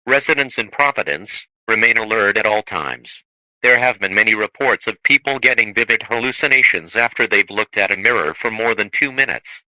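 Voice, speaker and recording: A man's voice: fairly low pitch, pushed and bright, dry recording, poor audio. A man delivering a formal scripted emergency broadcast, sounding stiff, impersonal and official. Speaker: emergency alert announcer.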